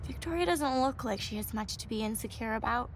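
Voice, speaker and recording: Devout young woman student, gentle, high-ish voice, earnest, video game dialogue, noticeable background ambience. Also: lively intonation, a little fast, background noise. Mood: earnest, reflective. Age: young adult. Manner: soft-hearted, earnest, sincere. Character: gentle, devout young female student, fragile but hopeful.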